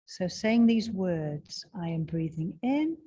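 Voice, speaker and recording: A woman guiding a breathing meditation in a slow, soft and soothing way, with long pauses. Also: fairly low pitch, expressive, breathy edge. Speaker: meditation guide.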